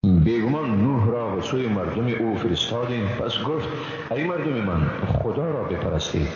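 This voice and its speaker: A man with an Afghan Dari accent reading a scripture translation in a solemn, measured, formal way. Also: on the low side, expressive, a little mellow. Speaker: translation reader. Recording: long reverb, dull noisy lo-fi audio.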